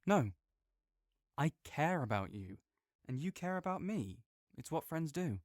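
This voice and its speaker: A man's voice: on the high side, big pitch swings, measured pace, no echo, studio quality. Playful, reassuring young man. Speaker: audio roleplay performer as a friend. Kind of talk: audio roleplay, comforting friend.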